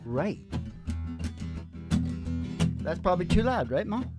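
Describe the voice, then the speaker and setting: A man's voice: sits high, sing-song, takes it slowly. A man in his sixties bantering casually on stage in a joking, rambling way. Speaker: musician performing with a duet partner. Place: small indoor stage with red curtains. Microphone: stand microphone. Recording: guitar music around the voice. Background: acoustic guitar.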